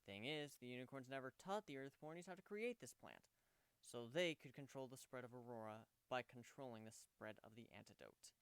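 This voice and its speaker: A man voicing a gentle, wry male pegasus pony who speaks matter-of-factly in a story reading. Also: mid-range voice, animated, normal speed, smooth, dry recording. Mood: explaining.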